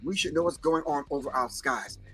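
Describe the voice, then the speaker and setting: Man, non-native english speaker, high voice, bright tone, emphatic livestream host, faint music behind. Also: a little fast, creaky, breathy edge. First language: not English. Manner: preachy, emphatic, talks back to chat. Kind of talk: casual livestream commentary.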